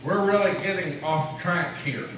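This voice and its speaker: A man's voice: high-pitched, unhurried. A man playing a stubborn small-town councilman in a live radio comedy, folksy and insistent, bulldozing over objections. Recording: echoey hall, distant mic, noisy.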